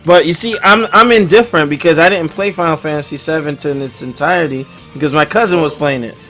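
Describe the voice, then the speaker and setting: A man hosting a casual group chat show, speaking in an informal, slangy and excitable way. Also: fairly high pitch, fast, faint music behind. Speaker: host.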